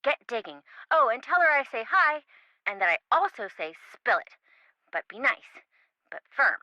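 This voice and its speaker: An actress playing a frank, chatty young friend with a casual, blunt manner in an adventure game. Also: female voice, on the high side, very animated, moderate pace, bright, forceful tone, no echo, muffled recording. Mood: bossy, playful. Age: young adult. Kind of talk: scripted adventure game dialogue.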